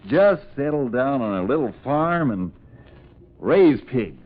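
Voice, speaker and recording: An actor with an American accent playing an earnest, folksy young country farmer in 1940s radio comedy style. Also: male voice, medium pitch, big pitch swings, slow pace. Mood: happy, earnest. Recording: tinny, noisy old radio recording.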